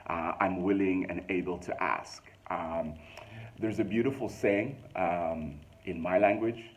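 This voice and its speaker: A man with a Zambian accent shares a reflection with his listeners. His voice sits very low, and his delivery is thoughtful and loose, full of fillers, with slowed-down stretches to drive a point home. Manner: thoughtful, many fillers, slow emphatic stretches. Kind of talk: casual spoken reflection to listeners.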